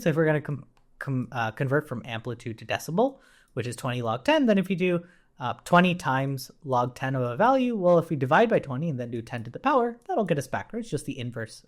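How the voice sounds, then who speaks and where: A man giving an informal technical code walkthrough as a tutorial instructor, conversational and often checking in as he explains. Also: on the high side. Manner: conversational, often tags points with "right?".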